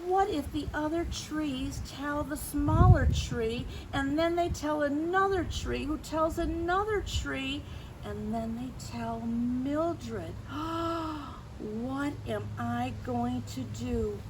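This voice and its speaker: A woman's voice: high voice, slow pace, background noise, voice pushed up. A woman voicing a guilty, anxious male picture-book character who is flustered, defensive and exclamatory in a children's read-aloud. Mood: panicked. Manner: exclamatory, flustered, over-innocent.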